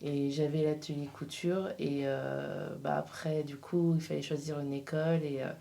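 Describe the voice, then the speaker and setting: A woman's voice: deep voice, flat delivery, normal speed, gravelly, dark tone. A woman guest answering questions in a casual interview, often hesitating and searching for her words. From Portugal. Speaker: interview guest, freelance embroiderer.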